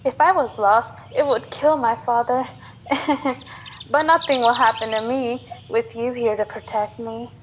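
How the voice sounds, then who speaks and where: A woman's voice: fairly high pitch, fairly even intonation, a little slow, dull audio, a little hiss or hum, close to an everyday voice. A woman as a frank, trusting young minister's daughter. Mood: trusting, innocent. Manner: earnest, plain-spoken, fearless. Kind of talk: student radio drama acting.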